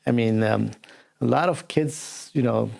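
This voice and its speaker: A man's voice: medium pitch, measured pace, vocal fry, a little mellow. A man, giving casual interview answers as a martial arts coach. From Afghanistan, later New Jersey. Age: 40s.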